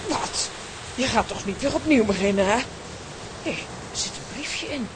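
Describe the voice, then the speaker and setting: A woman playing a fond but quick-tempered aunt in a children's audio play, theatrical in manner. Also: on the high side, animated, noisy background, close to an everyday voice. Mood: indignant, then tender.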